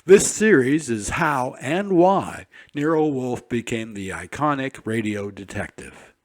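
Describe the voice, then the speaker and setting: A man presenting scripted narration in a measured, emphatic manner. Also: mid-range voice, animated. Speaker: programme presenter.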